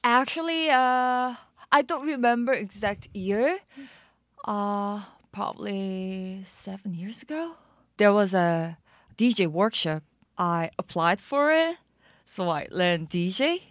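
A young woman with a Korean accent, a guest in an interview, speaking halting English with many fillers. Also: mid-range voice, expressive, dry recording, clean studio sound. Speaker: guest musician and DJ. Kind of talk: casual interview.

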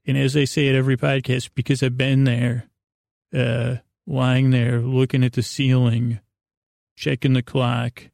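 A man hosting a bedtime podcast in a creaky voice, meandering through a goofy, self-deprecating monologue with halting, trailing sentences. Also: medium pitch, little pitch movement, darkish tone, dry recording, studio quality. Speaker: podcast host.

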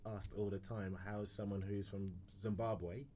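A man's voice: lowish voice, flat delivery, moderate pace, mellow, a little hiss or hum. A man hosting a podcast, asking questions in a chatty, informal way. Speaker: podcast host. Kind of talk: casual interview.

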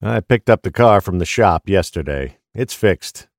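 An actor playing a gruff, weary older police detective with a husky voice in an audio drama. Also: male voice, low voice, expressive, measured pace, dry recording, clean studio sound. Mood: puzzled.

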